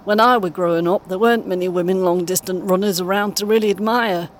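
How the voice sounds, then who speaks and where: A woman playing a kindly, encouraging British grandmother in her eighties in an audio play, speaking gently and reassuringly. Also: steady tone, fast, natural voice. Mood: reminiscing. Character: kindly, encouraging 81-year-old grandmother on a coach. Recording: clear vehicle rumble noise. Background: vehicle ambience.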